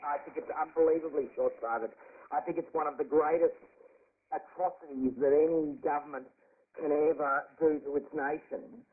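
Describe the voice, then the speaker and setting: A man giving a forceful opinion in an interview as a farmer. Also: on the high side, steady tone, moderate pace, slightly rough. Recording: thin narrowband shortwave radio.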